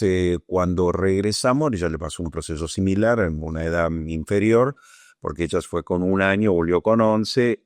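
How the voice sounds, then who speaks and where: Older Argentine man, very low voice, dry close sound, reflective pace for interview testimony. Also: a little mellow, dry recording. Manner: measured, reflective, searching for words. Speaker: Falklands War veteran interviewee.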